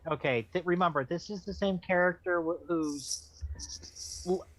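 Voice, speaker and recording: A man's voice: high voice, moderate pace, fairly bright tone, poor audio. A man in casual group banter, joking in a sardonic, profane way as a panelist. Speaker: stream panelist.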